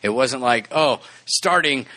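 A man's voice: medium pitch, lively intonation, unhurried, pushed and bright. A man in his forties, a podcast co-host, talking casually and earnestly with restarts and frequent laughs. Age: 40s. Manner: rambling, earnest, laughs often. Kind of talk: casual chat.

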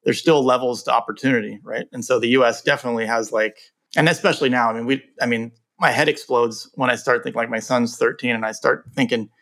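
A man in his forties, originally from England, hosting an interview in a relaxed way, asking long, rambling questions. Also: medium pitch, fast talker. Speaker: podcast host. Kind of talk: casual interview talk.

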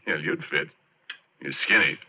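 An actor in a 1940s radio drama playing an easygoing, rough-and-ready oil driller who talks in folksy, colloquial style. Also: male voice, extremely low pitch, unhurried, pushed and bright, exaggerated voice. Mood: easygoing, hearty. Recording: muffled 1940s radio recording.

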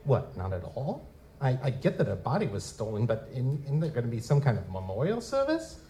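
A wry goblin private eye, acted by a man in a noir radio play, mid-pitched and mellow. He talks in casual, streetwise slang, like a working detective telling his case. There is some noise behind the voice. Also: huge pitch swings, brisk. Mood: probing, dry. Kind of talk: live audio drama dialogue and first-person narration. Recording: noticeable background noise.

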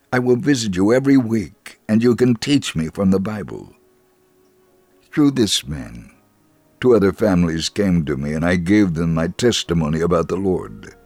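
Deep gravelly man reading a story. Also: darkish tone, dry recording, clean studio sound. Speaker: narrator of a testimony story. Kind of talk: scripted dramatic story reading for religious radio.

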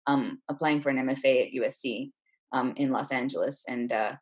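A woman's voice: rumbling low, fairly even intonation, fairly quick, breathy edge. A woman in her thirties from India, telling her story casually and chattily as an interview guest. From Chennai, India. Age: 30s. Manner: informal, chatty, often says "like".

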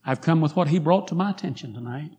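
Hoarse man preaching a revival sermon. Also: a little high, quick pace, muffled recording. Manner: rising to fervent pleas, then quiet prayer. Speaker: guest preacher. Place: church sanctuary.

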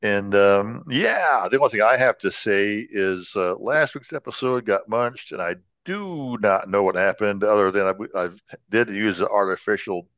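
A man's voice: on the low side, moderate pace, dry recording, highs cut off. A man hosting a podcast in casual, joking chat, tossing in wisecracks. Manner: wisecracking, jokey. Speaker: podcast host.

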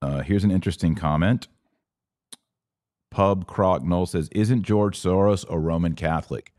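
Very deep-voiced American man hosting a podcast. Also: measured pace, darkish tone, no room sound, studio quality. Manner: conversational, reads aloud then responds off the cuff. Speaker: podcast host. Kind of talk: casual live commentary, reading and answering viewer comments.

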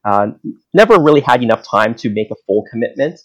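A man's voice: mid-range voice, very animated, brisk. A man from Hong Kong, in his thirties, talking casually as an interview guest, with many fillers and restarts. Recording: dull lo-fi audio.

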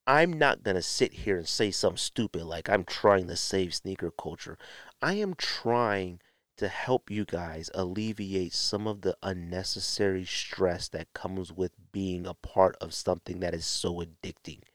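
A man's voice: low voice, a little slow, a bit bright, dry recording, studio quality. A man hosting a solo podcast, talking in a blunt, exasperated way with emphatic rhetorical questions, like an opinionated rant. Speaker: podcast host.

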